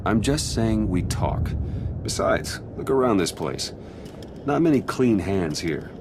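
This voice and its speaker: A man's voice: on the low side, big pitch swings, slow, background noise. An actor playing a wry, easygoing male spy companion in a video game, with a casual manner. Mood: reasoning.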